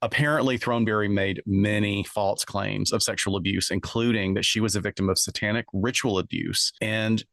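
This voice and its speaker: A man with a fairly low voice talks as a podcast co-host, recorded close and dry. He moves between telling a case and explaining it conversationally, rephrasing as he goes. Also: fairly even intonation, moderate pace, hardly any pauses, a bit bright, no echo. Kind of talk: conversational true-crime podcast narration.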